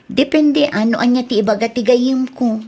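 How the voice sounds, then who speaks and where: A woman's voice: on the high side, moderate pace, mellow. A woman with an Ilocano accent playing a patient, reassuring mother in an audio drama. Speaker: mother and wife.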